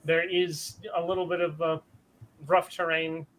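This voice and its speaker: A man narrating a tabletop role-playing game as game master, in a relaxed, casual way. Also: on the high side, unhurried, rough recording.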